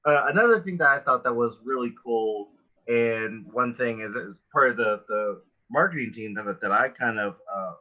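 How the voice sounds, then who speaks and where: A man from a marketing team speaking casually on a developer panel, with a halting, hesitant delivery. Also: mid-range voice, lo-fi sound.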